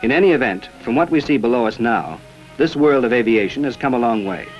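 A man reading scripted narration for an old informational film in a measured, formal manner. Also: medium pitch, animated, muffled recording. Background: background music.

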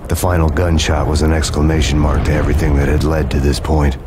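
An actor playing a grim, world-weary American police detective in a video game, speaking in measured, hardboiled style. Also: male voice, rumbling low, level tone, noisy background. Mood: grim, brooding. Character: brooding, world-weary New York police detective, man. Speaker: narrator and protagonist detective. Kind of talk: hardboiled noir scripted narration. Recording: music behind the voice.

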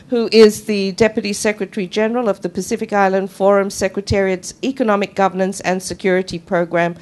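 A middle-aged woman moderating a conference panel, introducing the speakers in a measured way with frequent ums, speaking into a handheld microphone in a conference room. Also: medium pitch.

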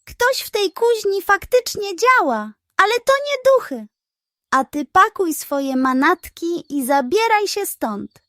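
A woman's voice: extremely high pitch, very animated, takes it slowly, fairly bright tone, no echo, studio quality, voice pushed up, exaggerated voice. A woman voicing a stern, resolute male pig detective in a children's bedtime story, speaking firmly and emphatically. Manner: firm, exclamatory, emphatic.

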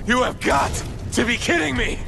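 A man's voice: very high-pitched, normal speed, gravelly, very noisy. An actor playing a wisecracking, exasperated male zombie-apocalypse survivor in a video game, wry and sarcastic. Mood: panicked, aggressive. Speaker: player character in a zombie action game. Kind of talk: cinematic game voice acting. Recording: loud game music underneath.

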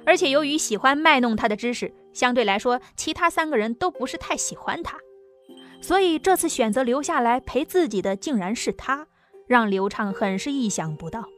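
Higher-pitched woman narrating over music. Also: animated, moderate pace, fairly bright tone. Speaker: audiobook narrator. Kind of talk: scripted dramatic narration of a novel. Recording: music under the voice.

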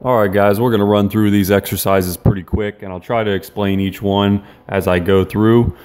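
Young guy vlogging from his car, kinda low voice, quick and chatty. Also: fairly even intonation, a little mellow, smooth. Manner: quick, chatty, loose with fillers. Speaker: fitness vlogger. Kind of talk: casual vlog narration. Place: in a car, then gym voice-over, then kitchen. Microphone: camera held at arm's length.

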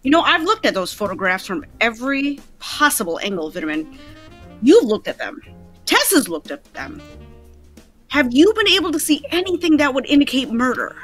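Baffled detective for a radio play, played by a woman: high, lively, brisk and earnest. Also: faint music behind, heavily acted. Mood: baffled, intent. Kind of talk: 1940s radio drama script reading.

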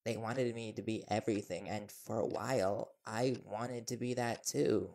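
A man's voice: on the low side, fairly even intonation, moderate pace, creaky, darkish tone. A man playing an earnest, nervous young reporter boyfriend in an intimate audio roleplay, hesitant and deferential. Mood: reflective, hurt.

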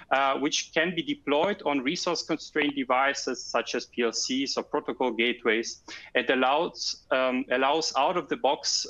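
A man giving a technical slide presentation as a product manager, halting and hesitant with frequent ums. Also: medium pitch, pushed and bright, lo-fi sound.

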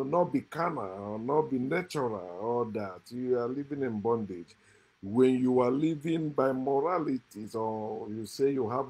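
A middle-aged man with a husky, slightly breathy voice at a middle pitch. He lectures to a phone camera held close, stressing and repeating phrases like a preacher. The room is indoors and the sound is close up. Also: darkish tone, rough recording. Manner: preachy, repetitive, emphatic. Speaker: host.

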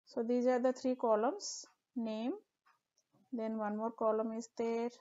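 A woman, walking through a software tutorial step by step with the odd filler. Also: a little high, unhurried, muffled recording. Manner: steady step-by-step explaining with fillers. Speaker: tutorial instructor. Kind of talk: screen-recorded software tutorial.

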